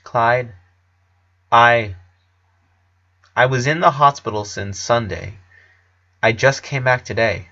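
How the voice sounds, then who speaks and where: A man voicing a serious, troubled eleven-year-old boy who speaks haltingly and earnestly. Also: mid-range voice, bright, forceful tone, lo-fi sound. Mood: grave, hesitant.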